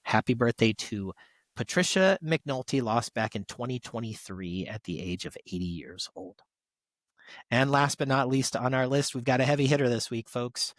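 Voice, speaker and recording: A man's voice: medium pitch, lively intonation, normal speed, no room sound. A man hosting a podcast, reading out tributes in a conversational, stop-and-start way with casual asides. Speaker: podcast host.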